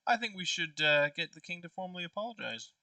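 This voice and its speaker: A man's voice: sits high, animated, quick pace, bright, forceful tone. A man talking strategy casually with friends as a party game player, a little distant from the microphone.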